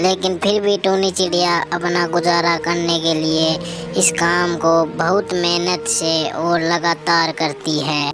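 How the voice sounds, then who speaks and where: Storyteller narrating over music. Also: medium pitch. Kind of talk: scripted children's story narration. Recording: music behind the voice.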